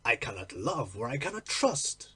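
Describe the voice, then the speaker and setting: A man voicing a troubled, impatient, lovestruck gentleman in a storybook reading, earnest, dramatic and deliberate. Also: medium pitch, very animated, husky, full character voice. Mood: guarded. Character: troubled, impatient, lovestruck English gentleman telling his secret.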